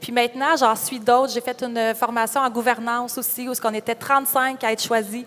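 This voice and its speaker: A young woman with a Quebec French accent speaks in a fairly high voice. She tells her own story in a candid, informal way as a panelist. The sound carries the long reverb of a hall. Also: level tone, brisk, a bit bright. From Quebec, Canada. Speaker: panelist, farm entrepreneur. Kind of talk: conference panel personal account. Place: conference room panel.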